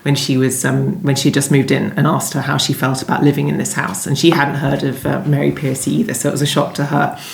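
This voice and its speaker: A woman with a deep voice talks as an interviewed novelist. She wanders through her answers, pausing and rewording. The room adds a clear echo. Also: level tone. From London, England. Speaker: guest novelist being interviewed. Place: indoors at home. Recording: echoey room.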